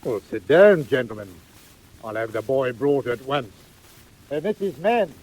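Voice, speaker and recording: An actor playing a self-important, impatient British workhouse master, curt and blustering, in a 1940s audio drama. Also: male voice, medium pitch, sing-song, a little slow, darkish tone, low-quality recording. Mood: officious. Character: pompous, gruff, impatient middle-aged male workhouse master.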